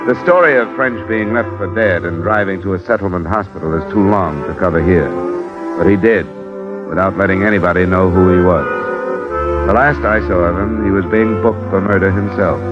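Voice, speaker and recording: Guy playing tough cool radio detective, deep voice, dull vintage broadcast sound. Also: moderate pace, loud music behind the voice, natural voice. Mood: wry, dry. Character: tough, cool hard-boiled male investigator narrating his case. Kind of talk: 1950s radio detective narration and acting. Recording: muffled old radio broadcast.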